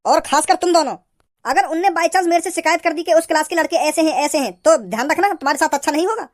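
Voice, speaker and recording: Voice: very high-pitched, fast. A performer voicing a strict male schoolteacher in a comic cartoon, with a stern and impatient manner. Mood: stern warning.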